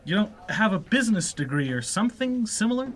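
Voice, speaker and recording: A man's voice: high voice, sing-song, brisk, a bit bright. A man acting a snooty, condescending lawyer colleague in a romantic comedy radio play.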